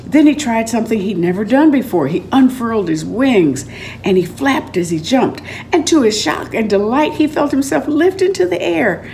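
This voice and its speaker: An older woman reading a story aloud in an expressive, dramatic and deliberate storytelling manner. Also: a little high, slight background noise. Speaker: author reading aloud from own book. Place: room at home, indoors.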